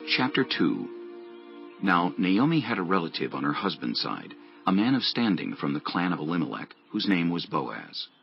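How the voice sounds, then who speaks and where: A man narrates scripture in a very low, creaky voice. His intonation moves in a lively way through the scripted text. Soft music sits under a slightly dull recording. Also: moderate pace. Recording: dull lo-fi audio with music bed.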